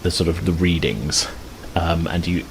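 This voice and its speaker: A man's voice: low-pitched, a little slow, gravelly, slight background noise. A man casually narrating a tabletop roleplaying game as game master, in a conversational, hedging way.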